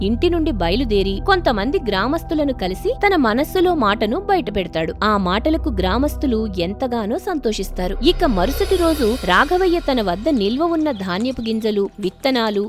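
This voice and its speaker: A woman's voice: a little high, very animated, brisk, hardly any pauses, soft background music. A woman narrating a scripted moral story for children.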